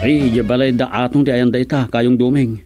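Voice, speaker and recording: A man's voice: medium pitch, fairly even intonation, normal speed, over loud music, lightly acted. A man playing a fed-up, grumbling villager in a radio comedy drama, drawing out his words.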